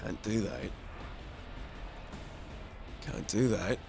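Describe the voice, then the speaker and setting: Slow, gravelly low-voiced male gamer. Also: lively intonation, darkish tone, faint music behind. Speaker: gamer, playthrough host. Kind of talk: casual game commentary.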